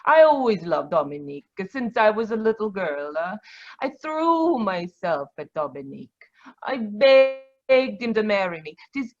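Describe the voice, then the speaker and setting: An actress playing a bitter, proud, anguished Sicilian woman in a 1940s-style radio drama, halting and emotional, over a dull video call. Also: fairly high pitch.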